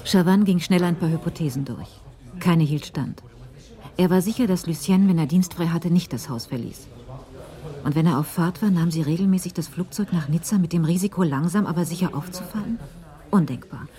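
A woman narrating a literary crime story in a radio drama, in a measured storytelling manner. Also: lowish voice, dark tone, close to an everyday voice. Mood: measured, suspenseful.

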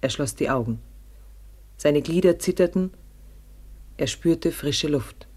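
A grave storyteller with a fairly high voice for a man. He delivers scripted dramatic narration in measured, short sentences, in the style of 1950s German radio. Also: lively intonation, darkish tone, husky, full character voice.

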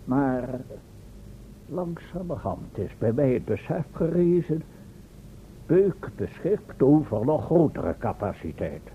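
An actor playing a pompous, long-winded inventor professor who lectures formally and corrects himself, in a Dutch radio play. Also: male voice, medium pitch, very animated, slow. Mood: solemn, persuading. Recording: tinny band-limited old radio recording, faint noise.

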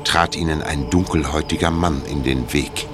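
A man playing a film director who narrates a detective mystery in a measured, deliberate way for a German audio drama. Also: a bass voice. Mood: measured, suspenseful. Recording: music under the voice.

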